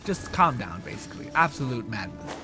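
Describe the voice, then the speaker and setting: A man's voice: medium pitch, sing-song, fairly quick. A young man giving casual, sweary, joking live gameplay commentary and chatting with viewers. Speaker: game streamer. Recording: music playing behind voice. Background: background music.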